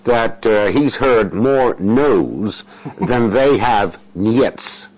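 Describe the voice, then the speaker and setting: An older man whose first language is Russian, an interpreter answering interview questions in a halting, measured way with many fillers and restarts. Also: lowish voice, lively intonation, a little mellow, husky, low-quality recording.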